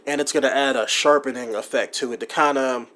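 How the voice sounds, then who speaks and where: A man's voice: mid-range voice, fairly even intonation, fairly quick, a bit bright. A man talking through his video-editing setup in a casual, rambling way, with lots of ums, likes and you-knows. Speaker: gaming YouTuber explaining his editing setup. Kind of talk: casual screen-recorded tutorial. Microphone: USB desktop mic.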